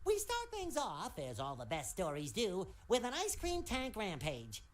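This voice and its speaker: A woman's voice: mid-range voice, wildly swooping pitch, measured pace, a crowd in the background. A woman narrating a cartoon video game in an upbeat, scripted storytelling manner.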